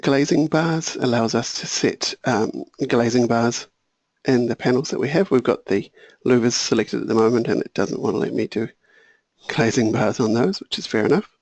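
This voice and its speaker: A man walking through a software tutorial, thinking aloud and correcting himself, a little hoarse. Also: mid-range voice, moderate pace, no echo. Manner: relaxed, thinking aloud, small self-corrections. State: slightly hoarse, clearing throat. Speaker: software trainer. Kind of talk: screen-share software tutorial.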